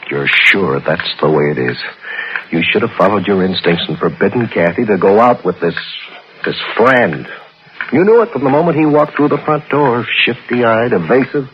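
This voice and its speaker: A man's voice: lowish voice, lively intonation, moderate pace, close to an everyday voice. An actor playing an anxious, overprotective middle-aged father in a 1960s radio suspense drama, with a fretful, brooding, melodramatic delivery. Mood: brooding, bitter. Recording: noisy, dull 1960s radio recording.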